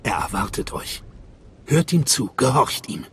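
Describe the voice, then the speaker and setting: A man's voice: mid-range voice, expressive, unhurried, a bit bright, a little hiss or hum. An actor playing a stern, devout male gatekeeper of a secret order in a game, solemn and formal. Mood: solemn, reverent. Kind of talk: dramatic game voice acting.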